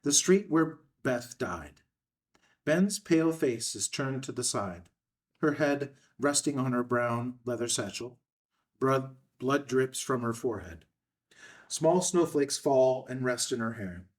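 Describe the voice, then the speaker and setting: A man's voice: mid-range voice, animated, slow pace. A man narrating scripted stage directions for a screenplay read, recorded at some distance from the microphone.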